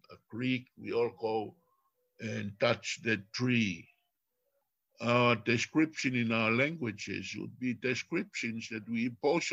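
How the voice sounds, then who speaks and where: Older man, tongan accent, slow measured delivery, repeats words to stress them, slightly muffled sound. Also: mid-range voice, a bit bright. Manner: deliberate, repeats phrases for emphasis, lists words. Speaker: philosopher guest. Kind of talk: reflective lecture-like talk.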